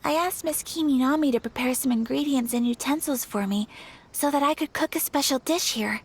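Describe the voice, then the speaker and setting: An actress playing a gentle, polite young noblewoman in an anime-style video game, courteous and a little hesitant. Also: high-pitched, a little fast. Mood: polite, earnest. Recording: faint game music behind.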